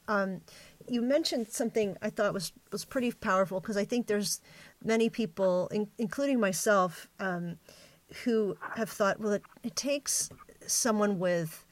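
A woman hosting an interview, asking questions in a measured, thoughtful and attentive way. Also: medium pitch, dry recording. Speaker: interview host.

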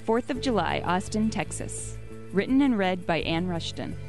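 A woman host announces titles, authors and listening times in a clear, formal way. Her voice is a little low, and soft music plays under it. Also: expressive, normal speed. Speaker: podcast host. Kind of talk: scripted announcing.

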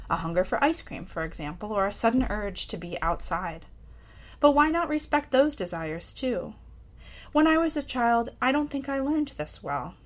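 A woman reading her own personal essay aloud for a podcast, reflective and candid, with a lightly self-amused, conversational touch. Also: fairly high pitch. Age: adult parent of a young child. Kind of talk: personal essay read aloud with casual asides.

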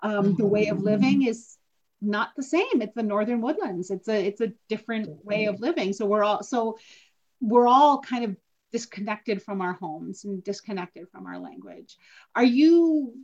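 A woman's voice: medium pitch, big pitch swings, moderate pace, darkish tone. Female podcast host from Northwestern Ontario; candid, chatty, dull lo-fi audio. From Northwestern Ontario, Canada. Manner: candid, chatty, laughs easily. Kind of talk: podcast interview.

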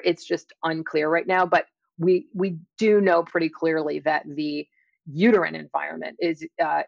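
Low-pitched, creaky-voiced woman explaining. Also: dry recording, dull audio. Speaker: guest, evolutionary biologist and author. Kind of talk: explanatory interview talk on science.

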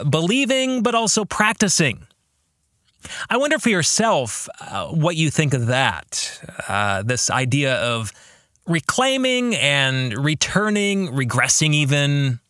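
A male podcast host talks through a book in a reflective monologue. His voice sits a little high and moves expressively up and down, with deliberate phrasing aimed straight at the listener. The sound is close and dry. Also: sharp tone, no room sound.

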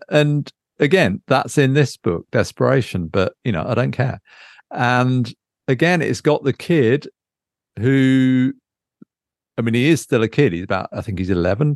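Man, gravelly creaky voice, dry close sound, casual podcast host, hesitant, thinking aloud. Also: mid-range voice, darkish tone, no room sound. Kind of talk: casual unscripted book chat.

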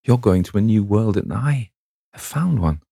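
A man's voice: on the low side, animated, moderate pace, gravelly, dark tone, breathy edge, no room sound, clean studio sound, voice pushed up, exaggerated voice. A man voicing a dreamy lovestruck young actress. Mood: coaxing. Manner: breathless, rapturous.

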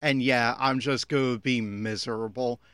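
A man's voice: medium pitch, fairly even intonation, normal speed, a bit bright, smooth, no echo. Man giving critical, dry-sounding commentary. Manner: critical, exasperated, opinionated. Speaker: podcaster giving episode commentary. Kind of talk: unscripted audio commentary on an anime episode.

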